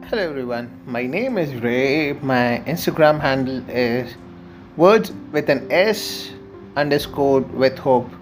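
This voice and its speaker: A man's voice: medium pitch, expressive, slow. A man from India with an Indian accent, a poet giving a friendly, chatty introduction and reading a short poem. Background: soft music.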